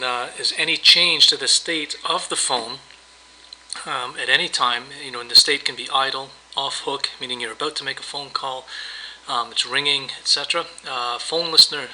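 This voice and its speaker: Man, software tutorial presenter, sharp bright tone, casual step-by-step explaining, screencast narration, faint background noise. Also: medium pitch, low-quality recording. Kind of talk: screencast demo narration, semi-improvised walkthrough.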